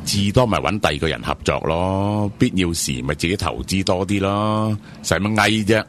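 An actor playing a calm, practical man giving advice with a Hong Kong Cantonese accent in a radio drama. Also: low-pitched, moderate pace, slight background noise. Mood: calm, advising. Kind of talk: measured radio drama dialogue.

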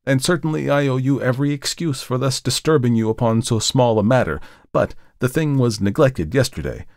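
A male reader acts out a brooding gentleman from a storybook. His speech is fervent and theatrical, pitched well up and swinging widely, with an insistent, agitated edge. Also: expressive, fairly quick, no room sound, clean studio sound, natural voice. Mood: smooth, rehearsed. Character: intense, brooding, agitated gentleman customer with a secret. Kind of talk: audiobook character reading.

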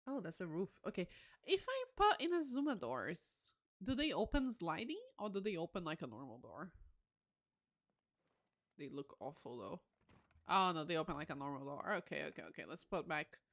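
A woman's voice: fairly low pitch, big pitch swings, normal speed, a bit bright. A woman livestream host giving casual game commentary, musing aloud with enthusiastic exclamations.

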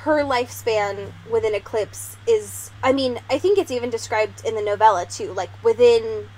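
A woman's voice: fairly high pitch, moderate pace, a little mellow, poor audio. A young woman hosting a podcast in a casual, informal, giggly way, with slang and swearing. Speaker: podcast host. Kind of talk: casual chat.

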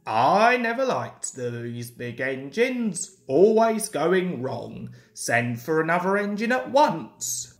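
A woman voicing a brusque, authoritative fat male railway director in a storybook, gruff and commanding. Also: low-pitched, very animated, slow pace, few pauses, full character voice. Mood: grumpy. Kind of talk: children's storybook character.

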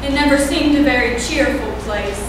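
A woman's voice: high voice, normal speed, natural voice. A young woman playing a blunt farm wife. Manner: blunt, defensive, plain-spoken. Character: sharp-tongued loyal farm wife neighbor. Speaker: student actor. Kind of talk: amateur stage acting. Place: high school auditorium stage. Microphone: far camera in the audience. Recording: echoey hall, far off, noisy.

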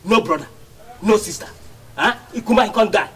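An actor playing a bullying, mocking police officer, speaking with a Nigerian Pidgin accent. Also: male voice, sits high, animated, brisk, a bit bright. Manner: gruff, mocking, threatening. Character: bullying male police officer, middle-aged.

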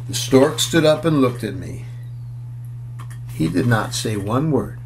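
A man in his sixties reading a picture book aloud to children, playful and expressive, with casual asides as he goes. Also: mid-range voice, a little slow, a little hiss or hum. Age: 60s. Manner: expressive, playful, inviting listeners along. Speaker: children's storyteller. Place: small room at home, indoors.

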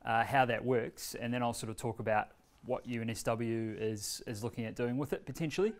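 A man, giving a casual workshop demonstration in a meeting room with many fillers and pauses. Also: mid-range voice. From New Zealand. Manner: standing, walking between screen and laptop. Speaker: presenter demonstrating a learning tool. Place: bright medium-sized meeting room with a screen, indoors. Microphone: far camera.

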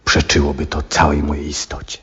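An actor playing an aging, troubled, devout astronomer in a 1970s radio drama, speaking in a solemn, reflective and husky way. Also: male voice, a bass voice, completely flat delivery, a bit bright, heavily acted. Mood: wondering, resolute. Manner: solemn, reflective, theatrical, deliberate phrasing. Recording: dull vintage radio recording.

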